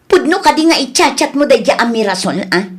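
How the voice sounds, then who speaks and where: Babae nga agakem a nasaktan, makaunget nga asawa, nangato ti timekna. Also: female voice, a little high, lively intonation, a little fast, close to an everyday voice. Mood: jealous, accusing. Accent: Ilocano Filipino. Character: hurt, indignant wife confronting her cheating partner. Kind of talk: Ilocano radio comedy drama acting.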